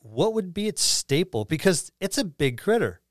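A man's voice: sits high, big pitch swings, normal speed, no echo. Man chatting casually on a dry recording. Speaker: podcast co-host. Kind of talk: casual chat about tabletop gaming.

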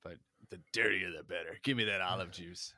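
A man's voice: low-pitched, fairly quick, dry recording, clean studio sound. A man co-hosting a casual podcast, laughing often and joking with his friend. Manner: laughs often, animated, bright. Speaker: podcast co-host. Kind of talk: casual chat.

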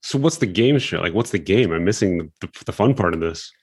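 Deep-voiced relaxed man chatting. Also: fairly quick, husky. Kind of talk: casual conversation.

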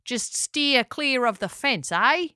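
Woman as a blunt, animated male pelican. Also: sits high, takes it slowly, smooth, no echo, studio quality, voice pushed up, exaggerated voice. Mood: matter-of-fact. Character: blunt, hearty male pelican, leader of his flock.

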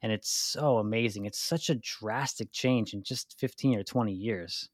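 Man in his thirties, podcast co-host, low pitch, dry sound, easygoing and reflective. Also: moderate pace, no echo. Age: 30s. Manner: relaxed, thoughtful, hedging with likes. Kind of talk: casual podcast chat.

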